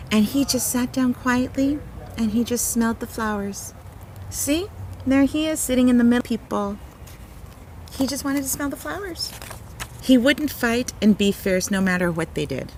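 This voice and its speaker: A woman's voice: a little high, a little hiss or hum, low-quality recording. A woman storyteller reading a picture book aloud to young children, expressively, with simple explanatory asides and exclamations.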